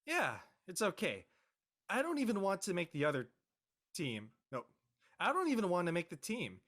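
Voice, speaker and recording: Exasperated teenage boy, played by a man, lively pitch. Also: normal speed, full character voice. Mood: fed up. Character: exasperated, reluctant, clumsy high-school boy gone viral. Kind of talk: amateur audio drama table read.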